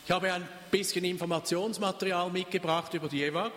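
An older man preaches in a high-pitched voice. He delivers his sermon in short phrases and pauses so an interpreter can follow. The long reverb of a church hall trails behind every line. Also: fairly even intonation, fairly bright tone, slight background noise. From Switzerland. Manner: speaks in short phrases, pausing for translation. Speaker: pastor.

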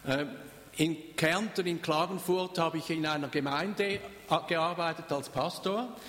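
An older man preaches in a high-pitched voice. He delivers his sermon in short phrases and pauses so an interpreter can follow. The long reverb of a church hall trails behind every line. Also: a bit bright. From Switzerland. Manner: speaks in short phrases, pausing for translation. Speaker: pastor.

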